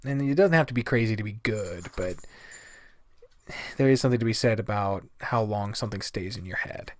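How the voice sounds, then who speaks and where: A man's voice: on the low side, brisk, no room sound. Blunt casual man, dry close sound. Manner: blunt, profane. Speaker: video game reviewer, host. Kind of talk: casual rambling review commentary.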